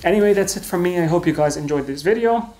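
A young man hosting a tech video, narrating a scripted review in a clear, earnest and opinionated way from a desk at home. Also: high voice, expressive, fast, warm tone, slight background noise. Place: home desk room with lamp.

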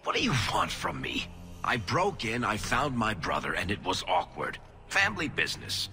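An actor playing a roguish dwarf storyteller in a video game, with a sardonic, dramatic way of telling things. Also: male voice, lowish voice, wildly swooping pitch, moderate pace, pushed and bright, full character voice. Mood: exasperated. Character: wry, roguish male dwarf storyteller under interrogation. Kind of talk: video game narration and dialogue. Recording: studio voice over game music.

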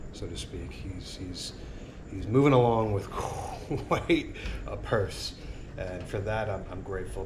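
Middle-aged man, lowish voice, earnest rambling vlog talk, outdoor porch, action cam a few feet off, steady ambient noise. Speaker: sailing vlogger. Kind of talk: casual reflective monologue. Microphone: action camera on a tripod a few feet away.

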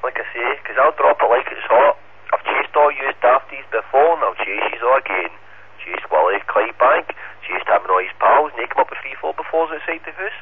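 A man's voice: medium pitch, expressive, brisk. A Scottish man chatting casually as an amateur radio operator, confrontational and swearing heavily, over a two-way radio. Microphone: radio transceiver. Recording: tinny band-limited radio link, static noise.

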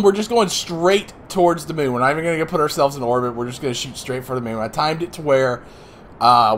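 Slightly hoarse man narrating gameplay. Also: medium pitch, a bit bright, a little hiss or hum. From Northeastern US. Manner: rambling, explanatory, self-deprecating. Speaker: let's play host. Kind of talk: casual gameplay commentary.

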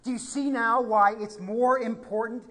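Animated American pastor preaching in a reverberant church. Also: male voice, very high voice, moderate pace. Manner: animated, gesturing, swings from quiet to emphatic. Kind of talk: sermon. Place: church sanctuary at a pulpit. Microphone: pulpit microphone.

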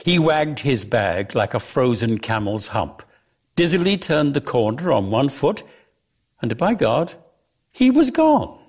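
A man giving an expressive, theatrical reading of lyrical prose as a measured storyteller. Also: mid-range voice. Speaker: reader of a dramatic story.

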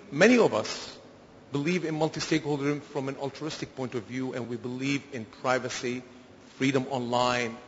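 A man from Syria making an earnest, emphatic intervention from the floor of a conference, with halting restarts. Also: mid-range voice, fairly bright tone, slight background noise. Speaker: conference participant. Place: large conference hall. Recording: echoey hall, dull lo-fi sound.

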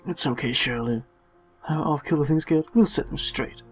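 A man's voice: fairly high pitch, normal speed, faint music behind, close to an everyday voice. A man voicing a brooding, earnest young man in a melodramatic game dub. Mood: reassuring.

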